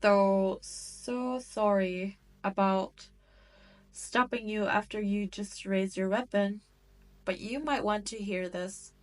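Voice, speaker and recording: Demon queen for roleplay audio, played by a woman: cruel and seductive, mid-range voice, very deliberate pacing, haughty taunts. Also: medium pitch, natural voice. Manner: taunting, haughty, condescending, teasing. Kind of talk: scripted roleplay audio drama monologue.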